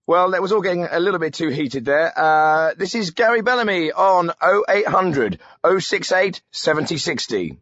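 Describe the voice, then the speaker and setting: A man's voice: a little high, animated, moderate pace, few pauses, fairly bright tone, no room sound, studio quality. An actor playing a glib, easily exasperated male radio phone-in host in a spoof show. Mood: composed.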